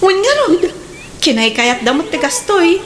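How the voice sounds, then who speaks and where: A woman playing a chatty, opinionated friend in a radio drama, with an expressive delivery and an Ilocano accent. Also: very high-pitched, unhurried, crowd noise, exaggerated voice. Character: lively working bride's friend, chatty, opinionated.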